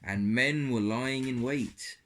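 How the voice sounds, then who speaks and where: A man reading scripture as a storyteller for children, in a deliberate, halting way. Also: mid-range voice, fairly bright tone. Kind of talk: slow scripted Bible reading.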